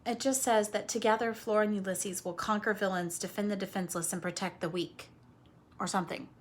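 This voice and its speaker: A woman with a slightly husky voice leading a read-aloud lesson for children, explaining things simply in an expressive, coaxing way and speaking to them directly. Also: medium pitch, brisk, slight background noise. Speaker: teacher reading aloud to children.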